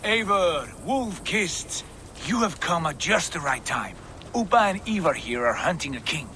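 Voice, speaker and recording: An actor playing a composed, ambitious Viking clan leader in a game, assured and diplomatic. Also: male voice, fairly high pitch, lively intonation, a little slow, pushed and bright. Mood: assured, smooth. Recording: clearly noisy background.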